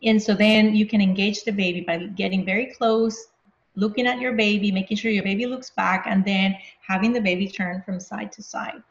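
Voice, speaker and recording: Woman pediatrician explaining calmly. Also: mid-range voice, normal speed. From Venezuela. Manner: calm, explanatory, plain speaking. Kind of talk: explaining in an interview. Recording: roomy, slightly dull sound.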